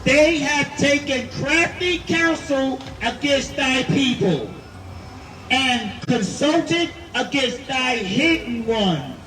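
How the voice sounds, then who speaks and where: Slow high-voiced scripture reader. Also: male voice, expressive, pushed and bright. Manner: slow, measured reading. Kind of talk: reading scripture aloud. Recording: distant, echoey, with street noise.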